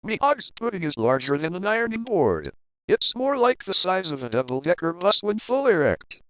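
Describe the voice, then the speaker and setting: A man puts on a crude, suspicious ogre husband. The delivery swings widely in pitch and sounds blustery, recorded dry and close. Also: sing-song, moderate pace, no room sound, poor audio.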